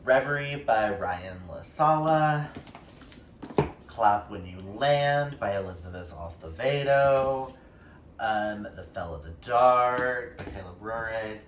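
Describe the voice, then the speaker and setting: Slow-talking, low-voiced man vlogging in echoey room. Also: lively intonation. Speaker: book YouTuber. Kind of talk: casual chatty vlog talk to camera. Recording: roomy echo, distant mic, faint noise.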